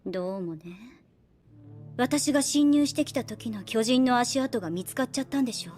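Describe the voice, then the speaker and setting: An actress playing a shrewd young woman soldier in an anime drama, composed, unhurried and coolly persuasive with a soft teasing edge. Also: a little high, expressive, natural voice. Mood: calm, probing. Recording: background music under the voice. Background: music.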